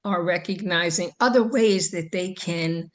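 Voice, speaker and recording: Slow, thoughtful woman podcast host. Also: on the low side. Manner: slow, thoughtful, hesitant with many ums. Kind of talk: casual chat.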